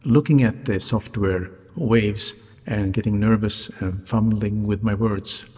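Low, slightly raspy male podcast host, non-native English. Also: steady tone, darkish tone. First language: not English. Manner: deliberate, careful articulation. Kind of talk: solo podcast narration. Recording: reverberant hall-like sound, music behind.

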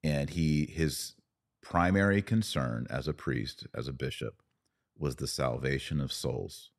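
A man's voice: a bass voice, measured pace, creaky. A man in a casual podcast conversation, hosting and talking in a reflective, anecdotal way. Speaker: podcast host.

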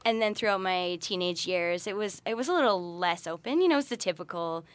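A woman's voice: on the low side, lively intonation, fairly quick, no room sound. A woman being interviewed casually as a podcast guest, open, articulate and matter-of-fact about personal experiences. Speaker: podcast guest, researcher who runs parties.

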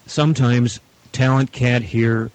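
A man speaking as a guest producer, explaining his work in measured, deliberate phrases. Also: medium pitch, steady tone, darkish tone, a little hiss or hum. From Canada. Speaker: audio producer and director, guest. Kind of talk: explanatory interview talk.

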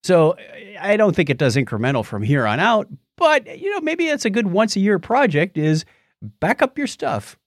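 Animated man chatting on a tech podcast. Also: high voice, moderate pace, dry recording, clean studio sound. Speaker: podcast host. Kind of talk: casual tech podcast chat.

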